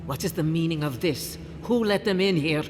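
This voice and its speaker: An actor playing a proud, stern and suspicious council leader in a fantasy game, with a formal, challenging, imperious manner. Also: male voice, a little high, moderate pace, music in the background, natural voice. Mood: indignant. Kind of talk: dramatic fantasy game acting. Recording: long hall reverb.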